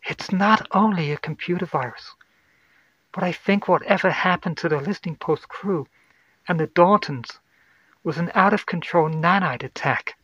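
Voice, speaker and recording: A man's voice: high-pitched, normal speed, fairly bright tone, breathy edge, no echo, highs cut off, pitched above the performer's own voice. A man voicing an anxious woman science officer, urgent and explanatory, in a sci-fi horror audio story. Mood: urgent, explaining.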